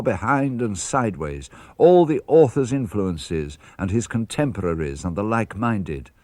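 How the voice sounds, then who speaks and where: A man with a British accent reading letters for radio in an articulate, animated way. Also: fairly low pitch, no room sound. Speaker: reader of letters.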